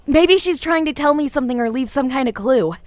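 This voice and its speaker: A woman's voice: high-pitched, expressive, fairly quick, a little mellow, no echo. A woman playing a young woman hunted in the woods, reasoning hopefully in an audio drama. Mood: anxious, hopeful.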